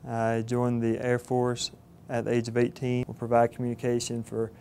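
A young man in his twenties with a Southern Georgia accent, earnest and halting with fillers, speaking in an interview. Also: mid-range voice, completely flat delivery, a little mellow, a little hiss or hum. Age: 20s. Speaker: cadet candidate. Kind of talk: interview testimonial. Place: studio with plain backdrop. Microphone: lapel mic.

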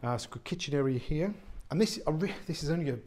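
A man, an estate agent giving an unscripted walk-through tour of a warehouse flat, chatty and full of fillers and restarts. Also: on the high side, fast talker. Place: large warehouse flat, indoors. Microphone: handheld phone camera, speaker off screen.

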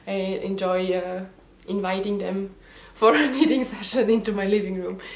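A woman in her thirties with a slight Austrian German accent, a native German speaker, hosting her first knitting video podcast from home in a casual, hesitant way with frequent ums. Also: on the high side. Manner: hesitant, many ums and restarts. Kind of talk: casual chat to camera. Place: living room at home. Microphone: camera mic, head and shoulders framing. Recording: echoey room.

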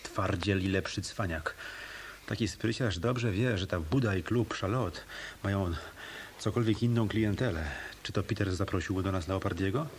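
An actor playing a tough, wisecracking hotel detective in a radio drama, with a wry, sardonic manner. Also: male voice, low voice, moderate pace, a little hiss or hum, close to an everyday voice. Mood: bitter.

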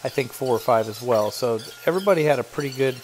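A man's voice: medium pitch, quick pace, a little mellow, slight background noise. A middle-aged man who owns a cleaning business, giving a chatty, explanatory voiceover for his work vlog. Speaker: carpet cleaning business owner.

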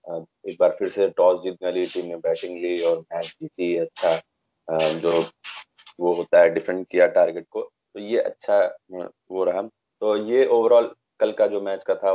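Low-voiced young man, hesitant, muffled recording. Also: warm tone. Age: young adult. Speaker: host of a cricket discussion. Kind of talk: conversational sports commentary. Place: indoors, small room, video call. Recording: dull lo-fi video call audio.

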